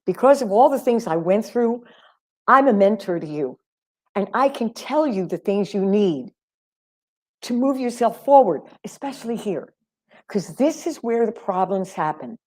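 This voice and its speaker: A middle-aged woman vocal coach talking on a live stream, animated and emphatic, calling her listeners honey. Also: medium pitch, measured pace.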